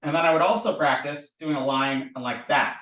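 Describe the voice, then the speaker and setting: A man teaching at a board, animated and drilling sounds by repetition. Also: on the high side, moderate pace, reverberant, recorded from a distance, rough recording. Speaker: language teacher. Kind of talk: recorded board lesson. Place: indoor room with a board.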